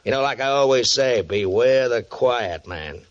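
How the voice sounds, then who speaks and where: An actor playing a brash, boastful, flirtatious private eye with slangy, cocksure talk in 1950s radio drama style. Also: male voice, medium pitch, animated, a little slow, close to an everyday voice. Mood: knowing, ominous. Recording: dull, muffled old radio recording.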